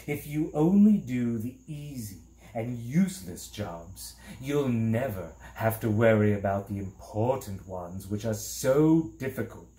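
Man putting on a slow, theatrical villainous demon. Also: medium pitch, expressive, mellow, reverberant, close to an everyday voice. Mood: sly coaxing. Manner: drawn-out, theatrical, deceitfully coaxing. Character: villainous male demon of petty tasks, sly and menacing.

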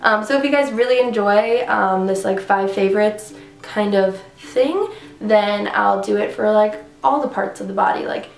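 A woman's voice: medium pitch, moderate pace, gravelly. A young woman in her twenties, congested, chatting casually to camera at home about workouts, rambling with lots of fillers. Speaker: fitness vlogger. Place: living room at home. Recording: echoey room.

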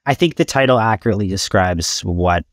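A man in his thirties from Canada, a podcast guest, talking in a thoughtful, halting way with many fillers over a video call with headphones at home. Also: on the low side, lively intonation. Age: 30s. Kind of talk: casual interview talk. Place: home room with shelves of CDs.

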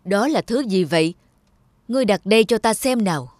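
A woman's voice: medium pitch, lively intonation, brisk, a little mellow, no room sound, studio quality, close to an everyday voice. A woman voicing an indulgent old emperor and father in a cartoon fairy tale, with an authoritative manner. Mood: commanding.

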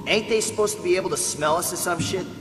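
A brash American soldier acted out by a man pushes his voice very high, crude and mocking in the way of a war game. Heavy battle noise and gunfire surround him. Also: fast talker, lightly acted. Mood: sneering. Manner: shouting, crude, mocking slang. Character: brash, foul-mouthed, taunting American soldier in combat. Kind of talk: video game combat acting.

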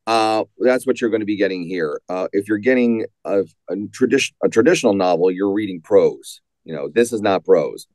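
A man answering as an author in an interview, conversational and halting, repeating words as he goes. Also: lowish voice, darkish tone, dry recording.

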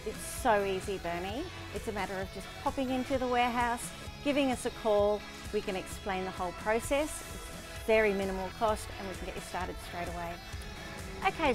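High-pitched Australian woman, upbeat, over music. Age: middle-aged. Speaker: shop owner being interviewed. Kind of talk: promotional interview, explaining a service. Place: stockroom of a shop, later outdoors by bins. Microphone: lapel mic. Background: background music.

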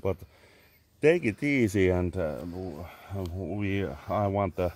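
A man in his thirties with a Finnish accent, vlogging in halting English full of fillers and swearing, outdoors in a garden on a handheld phone. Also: deep voice, a little mellow. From Finland. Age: 30s. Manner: halting, many "uh" fillers, swearing, sipping a drink. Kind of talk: casual rambling selfie vlog. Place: garden among trees, outdoors. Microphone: phone held at arm's length.

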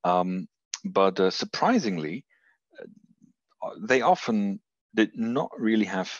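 A man's voice: fairly low pitch, lively intonation, slow, no room sound, lo-fi sound. A man from Belgium with a Belgian accent, presenting research over a video call, hesitant, with many fillers and restarts. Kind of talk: conference talk on a video call.

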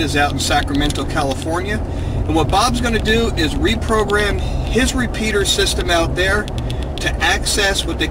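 American man over clear road noise. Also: on the high side. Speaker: amateur radio vlogger. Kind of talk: explanatory vlog narration while driving. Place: inside a moving pickup truck.

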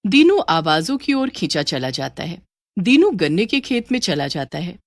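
Animated, low-voiced woman storyteller. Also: normal speed, no echo, studio quality. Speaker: narrator. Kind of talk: scripted story narration.

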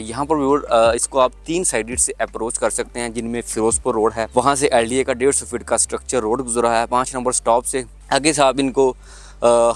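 A man in his thirties with a Pakistani Urdu accent walks viewers through a property, speaking into a handheld mic outdoors and in bare rooms. His delivery is salesy and direct, broken up by frequent fillers. His pitch sits at an ordinary middle level for a man. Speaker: real estate agent presenting a housing project. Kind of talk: property tour walkthrough to camera. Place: outdoors at a construction site, then inside an unfinished house and on its roof.

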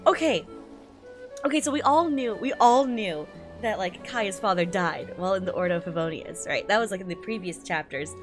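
A woman streamer doing an animated live reaction, exclaiming excitably and swearing freely. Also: mid-range voice, moderate pace. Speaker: streamer reacting to and reading a manga aloud. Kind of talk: animated live reaction and dramatic read-aloud. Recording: music playing behind voice. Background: music.

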